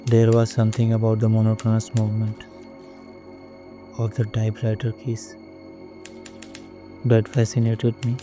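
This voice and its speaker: A man acts out an uneasy night manager in an audio drama, narrating in a dark, level voice. His delivery is measured and brooding, with music underneath. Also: fairly low pitch, monotone, natural voice. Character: uneasy, watchful night manager of a newswire office.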